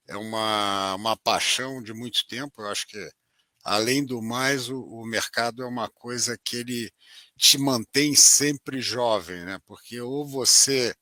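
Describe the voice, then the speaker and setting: Bright-voiced older man, dry close sound. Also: on the low side, no room sound. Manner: jokey, self-deprecating. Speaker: guest, market veteran. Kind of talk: casual podcast chat.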